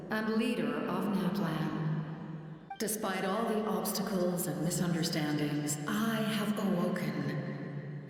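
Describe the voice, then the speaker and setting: Echoing, slow, ancient angelic spirit. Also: female voice, medium pitch, darkish tone. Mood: grand, commanding. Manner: stately, measured, formal. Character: ancient, solemn angelic spirit, benevolent and regal. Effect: heavy echoing reverb. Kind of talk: dramatic video game voice acting. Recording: heavy echo, long reverb tail.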